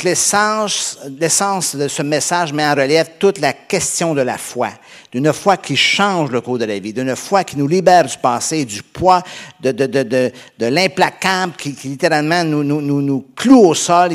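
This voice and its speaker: Canadian pastor preaching with a Québécois accent. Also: male voice, a little high. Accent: Québécois French. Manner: conversational, halting with many fillers and restarts. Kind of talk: sermon.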